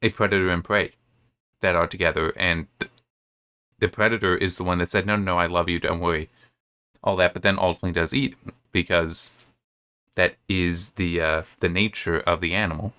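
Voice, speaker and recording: Low-voiced man rambling through book commentary. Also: fairly even intonation, fairly quick, sharp tone, clear, resonant tone. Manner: rambling, thinking aloud. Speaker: host reviewing assigned reading.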